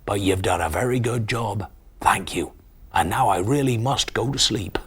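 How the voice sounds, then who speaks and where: A man's voice: lowish voice, normal speed, a bit bright, slightly rough. A man playing a tired, kindly farmer in a children's storybook, speaking with a weary sigh. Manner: weary, sighing. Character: tired, kindly male farmer, sighing but grateful.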